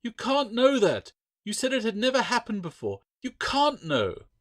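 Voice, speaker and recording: A man's voice: very high voice, huge pitch swings, normal speed, dry recording, voice pushed up, heavily acted. A man voicing an anxious, defiant young orphan girl in a fantasy audiobook, pleading and insistent. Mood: distraught.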